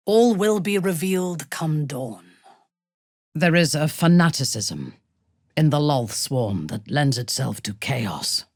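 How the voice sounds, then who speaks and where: An imperious dark elf commander from a fantasy game. Her voice is low and husky, close and dry, and she speaks deliberately with haughty menace, her cold lines swinging widely in pitch. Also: no echo, exaggerated voice. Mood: cold intrigue. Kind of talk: dramatic video game acting.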